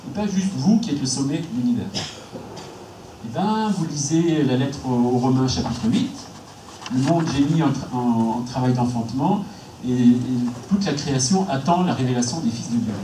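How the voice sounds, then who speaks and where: A priest giving a lively conference talk on faith and ecology, acting out inner voices, with frequent restarts. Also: male voice, mid-range voice, moderate pace, slight background noise. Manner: animated, acts out inner voices, many restarts. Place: hall. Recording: echoey hall, distant mic, faint background.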